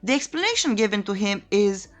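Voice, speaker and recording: Woman narrating with lively, swooping pitch. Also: a little fast, soft background music. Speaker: narrator of a comic recap channel. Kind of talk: scripted story recap narration.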